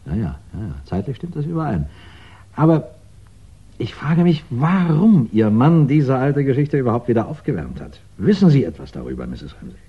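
Probing police inspector, lively intonation. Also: male voice, mid-range voice, husky. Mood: questioning. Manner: deliberate, polite, questioning, with thoughtful pauses. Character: probing, courteous male Scotland Yard police inspector. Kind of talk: 1960s German radio crime drama acting. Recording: dull, band-limited 1960s radio recording.

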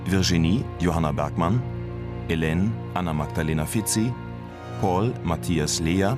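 A man's voice: low-pitched, unhurried. A man formally reading out the title and cast credits of a radio play as announcer. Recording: studio announcement over clear music.

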